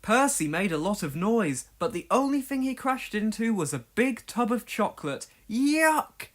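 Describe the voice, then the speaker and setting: A storyteller reading a scripted children's read-along with expressive delivery. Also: mid-range voice, moderate pace. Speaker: storybook narrator.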